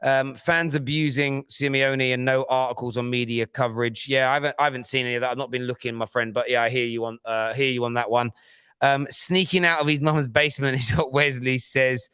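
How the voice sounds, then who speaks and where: A man's voice: medium pitch, fairly even intonation, brisk, fairly bright tone, clear, resonant tone, dry recording. A man hosting a football fan livestream, chatting in a laid-back, chummy way and reading viewer messages aloud. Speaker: host. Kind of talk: casual chat, livestream reading super chats.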